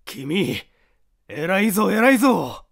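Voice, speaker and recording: A male narrator voicing an excitable middle-aged private detective who talks in an old-fashioned, lordly way. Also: high voice, slow, vocal fry, pitched above the performer's own voice, exaggerated voice. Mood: elated. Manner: old-fashioned lordly speech, flustered and effusive.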